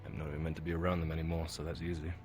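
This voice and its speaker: A man's voice: rumbling low, flat delivery, rapid-fire. A man playing a weary, guilt-ridden young soldier leader in a fantasy roleplay, talking bluntly. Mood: resigned. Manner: blunt, sweary. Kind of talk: live fantasy roleplay, improvised dialogue. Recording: music behind the voice.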